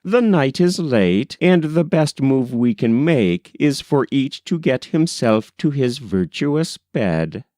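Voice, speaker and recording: A man's voice: medium pitch, lively intonation, takes it slowly, few pauses, warm tone, dry recording, studio quality, voice pushed up. A man reading the lines of a worldly young city fellow, light and confiding.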